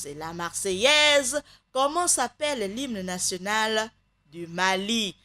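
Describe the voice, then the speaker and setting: Lady radio host, dry close studio mic, easygoing chatty sign-off, mid-pitch voice. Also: pushed and bright, no room sound. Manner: chatty, unhurried, with thanks and greetings. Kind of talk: live radio show wrap-up.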